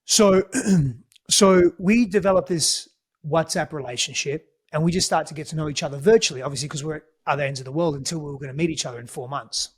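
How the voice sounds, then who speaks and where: A slightly higher-pitched man with an Australian accent and Greek as his first language, talking as a podcast guest. He tells his story in an earnest, reflective way and often restarts his sentences. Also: quick pace, breathy edge. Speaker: guest, relationship coach. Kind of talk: conversational storytelling.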